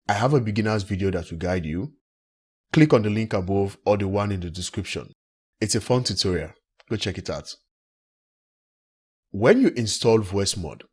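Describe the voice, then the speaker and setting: A man presenting a software tutorial in a plain, instructional way, recorded as a screen-recording voice-over. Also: low voice, breathy edge. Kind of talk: step-by-step software tutorial narration.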